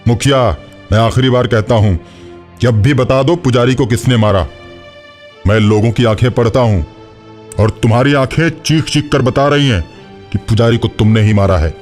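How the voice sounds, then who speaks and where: A man's voice: mid-range voice, darkish tone, faint music behind, close to an everyday voice. Man acting a stern, intimidating police inspector. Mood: accusing, threatening. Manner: authoritative, menacing, deliberate. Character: stern, intimidating male village police inspector, threatening and suspicious. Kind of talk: Hindi animated horror story acting.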